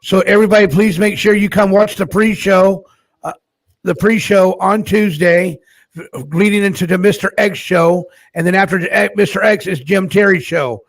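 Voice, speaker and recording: A man hosting a live stream, chatting loosely and loudly, coaxing his guests and repeating himself. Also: high-pitched, steady tone, normal speed. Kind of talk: casual live stream chat.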